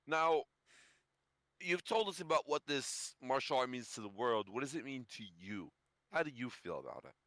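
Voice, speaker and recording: A young man with a bright, sharp voice hosts a joking podcast chat, asking questions in a mock-formal, teasing way. His pitch jumps around a lot, and the recording sounds close and dry, with no room sound. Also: lively intonation, normal speed, no echo. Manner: playful, mock-formal interviewer. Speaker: podcast co-host.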